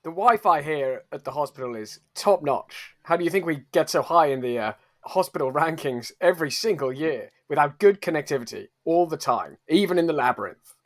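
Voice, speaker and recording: A man with a somewhat high voice trades improvised comic lines in an audio drama. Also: animated, a little fast.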